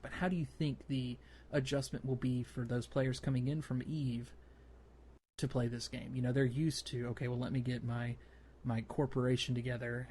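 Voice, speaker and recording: A man's voice: medium pitch, a little mellow. A man hosting a gaming podcast, explaining things in a casual, conversational way, with faint noise behind him. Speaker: podcast host.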